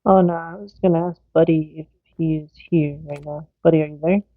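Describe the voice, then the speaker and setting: A speaker of medium pitch comes through a narrow, phone-like game voice chat with no room sound. The talk is casual and informal, emphatic in places, like a player addressing their team in a roleplay meeting. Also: fairly even intonation, a little fast, no room sound. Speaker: player in an online roleplay game's EMS faction. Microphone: online game voice chat. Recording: dry, tinny phone-like voice chat.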